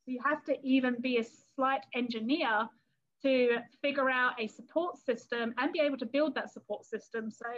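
A bright, high-pitched woman with a London-area English accent talks in an easy, chatty way and keeps acting out little quoted scenes. The recording is a bit muffled and dull. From England, London area. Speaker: cake artist and business owner, guest. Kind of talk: casual interview talk.

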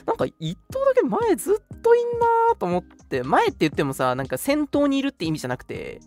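A young man co-hosting a radio show, casually recounting things in an animated way and acting out reactions. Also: very high voice, fairly quick, soft background music. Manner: animated, acts out reactions while telling stories. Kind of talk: casual chat, recounting an outing.

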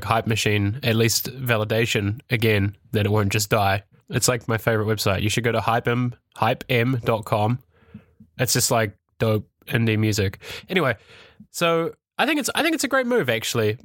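A man's voice: medium pitch, a bit bright, no echo, studio quality. A man hosting a casual tech podcast, stuffed up from a cold, chatty and laughing often. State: congested with a cold. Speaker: podcast host. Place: Europe.

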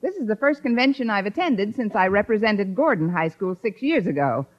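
An actress playing a wry, wisecracking English teacher in old radio comedy, with a dry, sardonic manner. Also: female voice, medium pitch, big pitch swings, brisk. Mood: breezy. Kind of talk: 1940s-50s radio comedy acting. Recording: muffled old radio broadcast recording.

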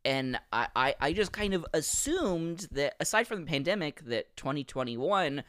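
A man in his thirties co-hosting a podcast, chatting casually, animated and laughing, often restarting his sentences. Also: a little high, moderate pace, dry recording, clean studio sound. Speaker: podcast co-host. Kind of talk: casual chat.

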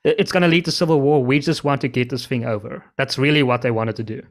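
A man's voice: mid-range voice, fast talker. A man interviewing a guest, asking probing questions and summarizing answers.

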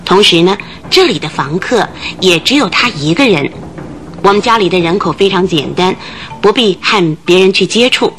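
A woman's voice: on the low side, lively intonation, moderate pace, fairly bright tone, a little hiss or hum. An actress in a Chinese radio drama playing a thin, fidgety, gossipy landlady of about forty, agitated and full of exclamations. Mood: explaining, chatty.

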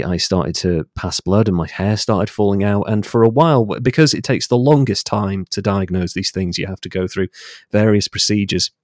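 A middle-aged man giving a personal account as an interview guest, explaining things in a measured way. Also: fairly low pitch, darkish tone, no echo, clean studio sound.

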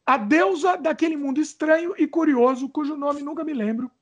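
Brazilian woman hosting, high voice, trips over words while reading questions, joking a lot. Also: fairly quick, dull audio. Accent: Brazilian Portuguese. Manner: chatty, stumbles over reading, jokes about her age. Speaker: host reading viewer questions. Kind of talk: casual chat.

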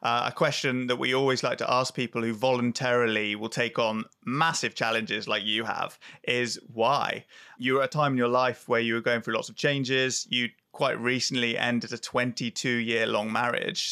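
A man's voice: medium pitch, pushed and bright, clean tone. A man hosting a podcast, asking a guest questions in a conversational, probing way. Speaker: podcast host. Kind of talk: interview questions.

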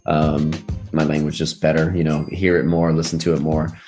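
A man's voice: a bass voice, little pitch movement, moderate pace, a little mellow, faint music behind. A young American man telling personal stories in a casual, reflective way, often dropping in filler phrases like "you know". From United States. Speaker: podcast guest. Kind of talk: casual conversational storytelling.

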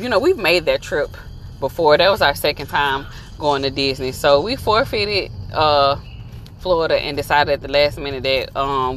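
A woman's voice: sits low, fairly bright tone. A woman in her thirties chatting casually for a travel vlog from inside a moving car, laughing often. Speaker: vlogger.

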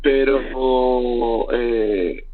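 This is a young Argentine man with a slightly husky voice, telling his story as a guest on a remote interview. He wanders through his thoughts with many fillers and restarts. The sound is thin and tinny, like a phone line. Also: mid-range voice, steady tone, extremely slow. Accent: Argentine Spanish.

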